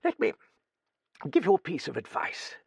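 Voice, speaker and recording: A man's voice: high-pitched, wildly swooping pitch, moderate pace, gravelly, breathy edge, dry recording, voice pushed up, exaggerated voice. A man reading an audiobook, voicing a blunt, hearty old woman who swears freely and talks with cheeky bluster. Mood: bluff, gossipy. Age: older.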